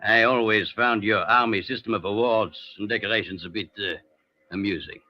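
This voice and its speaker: Actor as a coolly menacing ex-soldier, muffled recording. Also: male voice, lowish voice, steady tone, natural voice. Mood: probing, condescending. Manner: formal, measured, probing. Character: coolly menacing German ex-soldier, formal and condescending. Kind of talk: old-time radio drama acting. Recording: muffled old radio show recording.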